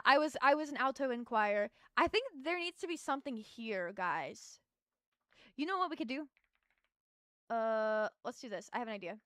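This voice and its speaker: A woman's voice: high-pitched, bright, forceful tone, no room sound, studio quality. A young adult woman streaming as a VTuber, chatting casually with her chat while she builds in a game and thinks aloud.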